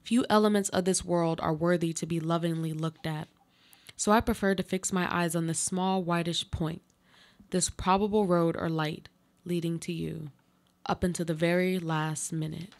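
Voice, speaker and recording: A woman playing a frail but resilient dying woman in a live radio play, speaking in a solemn, deliberate, poetic way. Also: on the low side, fairly even intonation, lightly acted. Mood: fading, tender. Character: frail dying woman, resilient, addressing her other self.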